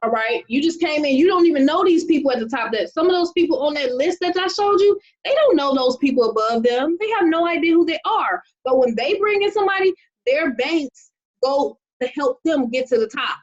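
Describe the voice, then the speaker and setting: High-voiced upbeat woman on a video call. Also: expressive, fairly quick, dull audio. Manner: upbeat, repeats "okay?" often. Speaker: host of an online money-making call. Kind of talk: enthusiastic presentation on a video call.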